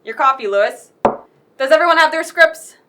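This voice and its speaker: Brisk studio gofer, played by a woman, higher voice heard off-mic, for a radio play. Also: lively intonation, distant mic, noisy background. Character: dutiful, brisk studio assistant. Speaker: studio assistant. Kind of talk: radio play comedy acting.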